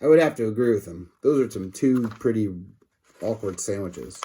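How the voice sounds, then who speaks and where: A man's voice: fairly low pitch, lively intonation, moderate pace, dark tone, husky. A man reading a children's story aloud expressively, with short asides to his listeners. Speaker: storybook reader. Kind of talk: animated children's read-aloud narration.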